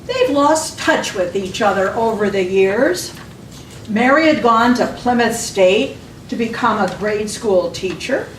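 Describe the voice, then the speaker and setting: A woman narrates a scripted scene introduction in a slightly high voice. She takes her time, very slowly, with animated pitch. The recording is distant and roomy. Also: a little hiss or hum.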